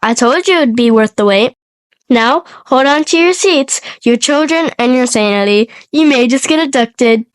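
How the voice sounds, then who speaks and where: A woman's voice: sits high, lively intonation, normal speed, clean tone, dry recording. A young woman, congested from a cold, co-hosting a podcast and telling a story in a partly scripted, partly chatty way. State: sick with a cold. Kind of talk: podcast storytelling, part scripted, part casual chat.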